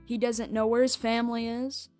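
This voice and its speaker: A woman's voice: fairly high pitch, flat delivery, normal speed, darkish tone. A woman hosting a casual fan review, giving recap and reaction commentary. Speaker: reviewer and superfan host. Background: music.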